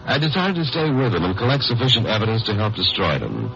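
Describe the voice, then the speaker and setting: Wry lawyer sleuth, animated delivery, tongue-in-cheek, muffled vintage radio crime drama. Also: male voice, lowish voice, fast, gravelly, full character voice. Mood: wry narration. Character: wry, wisecracking hard-boiled male lawyer-sleuth. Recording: muffled old radio broadcast, music behind.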